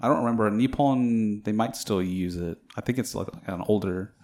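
A young man with a fairly low voice talks in a loose, rambling way, trailing off and filling gaps with "like" as he chats among friends. He hesitates often while searching for words. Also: moderate pace, darkish tone. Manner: hesitant, rambling, lots of fillers. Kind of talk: casual chat among friends.

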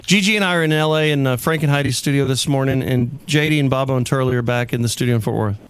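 A man's voice: medium pitch, clean tone, poor audio. A man hosting a morning radio show, joking with callers in casual banter from a studio. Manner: joking, quick to laugh.

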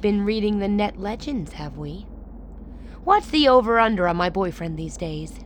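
A woman's voice: medium pitch, animated, normal speed, close to an everyday voice. Actress as commanding woman boss, teasing, self-assured, radio drama, faint noise behind. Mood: wry, teasing. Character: tall commanding woman political leader, sly and wryly amused. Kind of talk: radio play acting.

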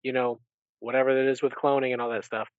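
A man's voice: mid-range voice, flat delivery, quick pace, no echo. Guy hosting a podcast, retelling stuff casually, dry and kinda lo-fi. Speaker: podcast host. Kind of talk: casual chat, recapping.

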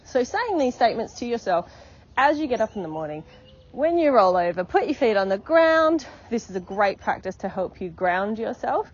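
A woman's voice: on the high side, sing-song, normal speed, slightly rough, lo-fi sound. A woman life coach giving an encouraging, repetitive motivational podcast talk, often checking in with "okay?".

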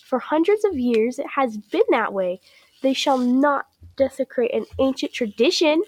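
A young woman plays a secretive, old-fashioned village matriarch in a radio mystery. Her pitch is high and swings widely as she talks. She sounds guarded and indignant, with archaic turns of phrase and a defiant temper. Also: animated, normal speed, poor audio. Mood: defensive. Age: young adult. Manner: archaic thee-and-thou speech, guarded, indignant. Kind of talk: classic radio drama acting.

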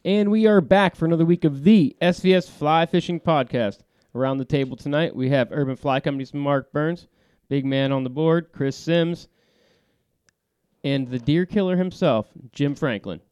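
Smooth-voiced casual podcast host man. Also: on the high side, moderate pace, darkish tone, dry recording, muffled recording. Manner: laid-back, frequent filler words; voice that carries. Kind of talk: casual fly fishing podcast chat. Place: small podcast studio around a table.

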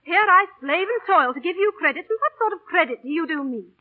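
An actress in an old radio drama playing a bossy but warm-hearted young woman of the theatre, scolding and coaxing in urgent, emotional, colloquial speech. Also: extremely high pitch, expressive, fast. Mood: indignant scolding. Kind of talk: 1930s-40s radio drama acting. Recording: old radio broadcast, phone-like narrow band.

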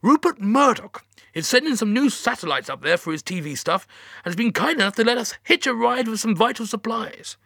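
A man voicing a brisk space mission boss in a story, falsely cheery, patronising and evasive, with hesitant wheedling. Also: high voice, expressive, gravelly, sharp tone, no echo, clean studio sound, pitched above the performer's own voice, exaggerated voice.

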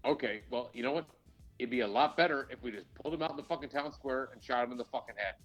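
A man's voice: medium pitch, fast, a bit bright, faint music behind. A man co-hosting a casual talk show, giving his opinions in a blunt, emphatic way full of swearing. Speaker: podcast co-host. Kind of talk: casual, heated opinion chat.

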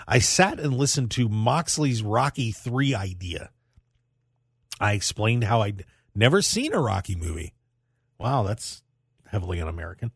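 Male radio host, mid-range voice, animated and emphatic, casual sports talk, dry studio sound. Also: mid-range voice, gravelly, slightly rough, no room sound.

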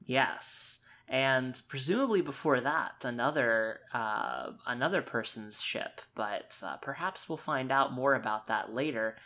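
A woman's voice: a bass voice, expressive, measured pace, hardly any pauses, a bit bright. A woman co-hosting a tabletop game podcast in casual, joking chat. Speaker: podcast co-host.

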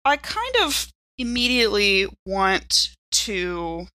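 A woman's voice: medium pitch, very animated, slow pace, bright, forceful tone, dry recording. A woman playing a tabletop roleplaying game, chatting casually and thinking aloud in an informal way.

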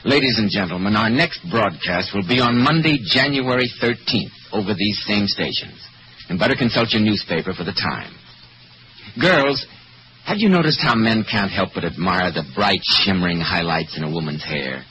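A man's voice: fairly low pitch, expressive, moderate pace, bright, forceful tone, slight background noise, low-quality recording. An American man announcing and hosting in a polished, emphatic 1940s radio style. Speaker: radio announcer and program host. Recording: vintage 1940s radio broadcast with music.

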